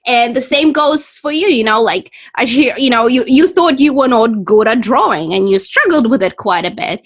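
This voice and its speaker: Woman host, non-native English speaker, upbeat casual interviewer, higher voice. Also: lively intonation, normal speed. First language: not English. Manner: encouraging, frequent fillers and restarts.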